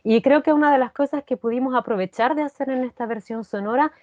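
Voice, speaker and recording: A woman's voice: sits high, fast talker, a little mellow, no echo, lo-fi sound. A woman answering as an interviewed actress, reflective and searching, repeating phrases as she explains. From Chile.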